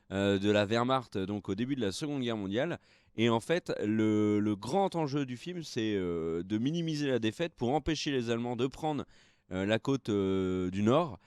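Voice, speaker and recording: A man's voice: medium pitch, darkish tone, clean tone, no echo, studio quality. A tired young man co-hosting a live film news show, rambling through the news with lots of hesitations. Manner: rambling, hesitant with many fillers. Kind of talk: casual live-stream chat.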